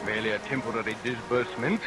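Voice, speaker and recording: An actor playing a scheming, murderous villain barber in a vintage radio melodrama, declaiming theatrically and relishing each threat. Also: male voice, medium pitch, steady tone, rapid-fire, close to an everyday voice. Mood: gloating, scheming. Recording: vintage radio recording under rain noise.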